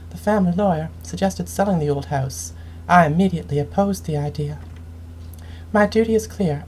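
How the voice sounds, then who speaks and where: A woman reading a scripted first-person story aloud in a measured, solemn, dramatic way. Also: on the low side, dark tone, a little hiss or hum, rough recording. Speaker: game streamer reading aloud.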